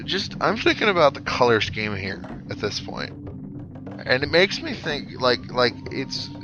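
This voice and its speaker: Male let's player, mid-pitched, casual commentary, reasoning aloud through tactics, video game music clearly behind him. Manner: muses aloud, thinking through tactics in asides.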